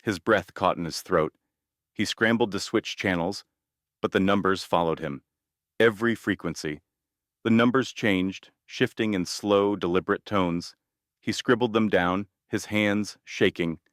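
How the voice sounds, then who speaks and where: A man narrating a scripted scary story in a measured, ominous manner, in short deliberate phrases. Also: lowish voice, a bit bright, dry recording, studio quality.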